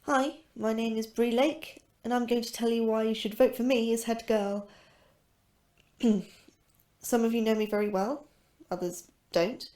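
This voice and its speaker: An actress with a British accent playing an ambitious young astrobiologist in a sci-fi audio drama, earnest and slightly superior. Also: female voice, a little high, fairly even intonation, a little slow, long pauses, darkish tone, natural voice. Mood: earnest, confident. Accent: British English. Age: young adult. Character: ambitious, self-assured, anxious young woman astrobiologist.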